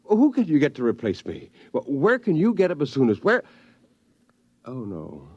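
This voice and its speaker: An actor playing an anxious bassoonist who has lost his job to a robot, in a 1950s radio drama. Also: wildly swooping pitch, normal speed, a little mellow, husky, no room sound, heavily acted. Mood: distraught. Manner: earnest, flustered, first-person narration. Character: laid-off male bassoonist, anxious, put-upon family man. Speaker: narrator and lead character. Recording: muffled 1950s radio recording with music.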